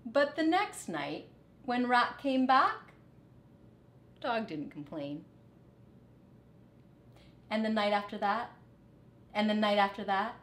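Expressive, unhurried woman reading a storybook. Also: mid-range voice. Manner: teacherly, dramatic phrasing. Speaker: storybook reader. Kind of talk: expressive children's read-aloud. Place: living room, on a couch. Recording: echoey living room.

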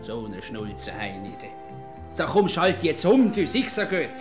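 A grumbling Swiss German husband, played by a man in an old comic radio play, middle-aged and sarcastic, repeating himself in a high voice. Music plays clearly behind him. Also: big pitch swings, unhurried. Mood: mocking, annoyed. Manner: blustering, repetitive, sarcastic.

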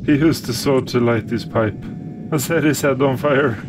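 A man playing a proud, blunt warrior swordsman, grandiose and deadpan, in a sci-fi audio drama. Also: medium pitch, normal speed, noisy background, close to an everyday voice. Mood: solemn, deadpan.

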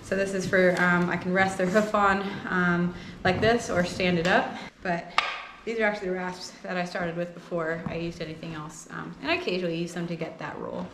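A young woman giving a casual hands-on hoof trimming tutorial, thinking aloud in short, halting phrases as she works in a barn. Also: on the low side, flat delivery. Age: young adult. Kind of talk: casual hands-on demonstration talk. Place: indoor barn with concrete floor. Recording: clear rasping and clattering noise. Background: filing, scraping and clatter of tools.

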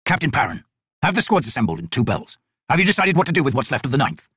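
Guy doing a gruff old-soldier commander, rough growly voice, measured, in charge, audiobook. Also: mid-range voice, very animated, a bit bright, no room sound, studio quality. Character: grizzled, gruff veteran male army commander.

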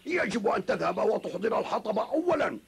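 A high, creaky, bullying brother. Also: male voice, sing-song, brisk, full character voice. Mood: bullying, scornful. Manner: harsh, scolding, commanding. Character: cruel, bullying, greedy elder brother. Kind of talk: dubbed children's cartoon acting.

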